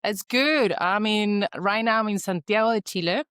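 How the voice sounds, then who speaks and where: Woman, Argentine accent with Australian tinge, friendly, thoughtful, um-filled, smooth resonant voice, dry audio. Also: mid-range voice, moderate pace, a bit bright, no room sound. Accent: Argentine Spanish with an Australian English tinge. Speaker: guest. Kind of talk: casual interview conversation. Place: remote, on a video call from home.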